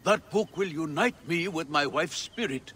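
A fervent old widower. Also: male voice, fairly high pitch, lively intonation, measured pace, sharp tone, a little hiss or hum. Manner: pleading, fervent.

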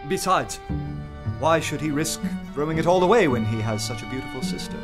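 An actor playing a witty, self-assured young poet in a radio play, courteous, dry and eloquent. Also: male voice, a little high, expressive, brisk, over music, lightly acted. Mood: bantering, courteous. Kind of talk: full-cast radio play acting with first-person narration.

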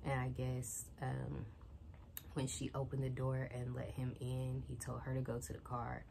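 Low gravelly young woman chatting casually. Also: monotone, unhurried, dark tone, a little hiss or hum. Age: young adult. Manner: rambling, retells a book plot loosely with many fillers. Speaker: vlogger. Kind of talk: casual chat while tidying. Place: small bedroom with bookshelf and TV, indoors. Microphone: phone or camera set on a shelf, she moves near and away.

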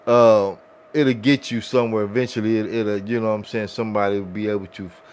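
A man's voice: lowish voice, steady tone, fast, darkish tone. An American man chatting casually on a podcast, earnest and rambling, often adding "you know". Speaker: podcast co-host. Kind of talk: casual chat. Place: small room set up as a podcast studio. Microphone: studio mic on boom arm.

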